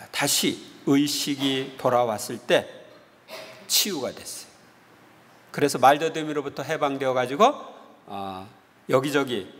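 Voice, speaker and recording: High-voiced priest preaching in echoing church. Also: male voice, a bit bright. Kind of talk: homily with anecdotes. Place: large church interior. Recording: reverberant church hall with long echo.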